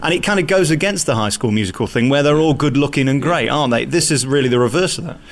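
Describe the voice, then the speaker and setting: Fast-talking British male interviewer. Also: medium pitch, animated. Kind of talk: conversational celebrity interview.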